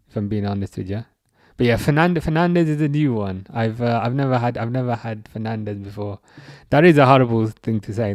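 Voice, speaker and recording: Young english guy rambling through a football stream, mid pitch, dry close sound. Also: darkish tone, no echo. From England. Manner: rambling, repeats words and restarts, reads chat aloud. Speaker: livestream host. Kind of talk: casual football livestream chat.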